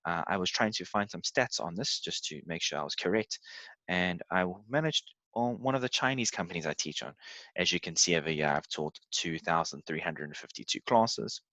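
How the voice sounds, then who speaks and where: A man in his thirties from South Africa, an English tutor giving a relaxed, conversational presentation while sharing his screen, with frequent filler words. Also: low voice, moderate pace, no room sound, poor audio.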